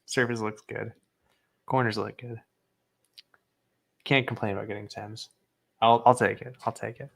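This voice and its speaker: A man's voice: moderate pace, creaky, long pauses. A young man casually commenting as he unboxes graded trading cards, understated and matter-of-fact. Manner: low-key and understated, self-described as not excitable.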